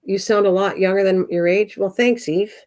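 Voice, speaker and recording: A woman's voice: mid-range voice, steady tone, normal speed, darkish tone. A woman of sixty, hosting her craft channel and chatting casually with viewers, rambling and answering them by name. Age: 60s. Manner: chatty, rambling, talks back to chat by name. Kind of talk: casual livestream chat reading viewer comments.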